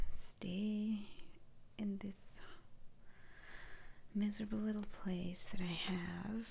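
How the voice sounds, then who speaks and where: A woman playing a soothing, eccentric witch doctor in a whispered ASMR roleplay, speaking in a hushed, halting way. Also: medium pitch, vocal fry, slight background noise. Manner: slow, hushed, halting delivery with sighs.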